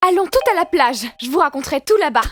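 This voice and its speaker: A woman's voice: sits high, expressive, fast talker. A woman voicing an eager, helpful young female pet in a children's cartoon, peppy and encouraging. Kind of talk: French-dubbed children's cartoon acting.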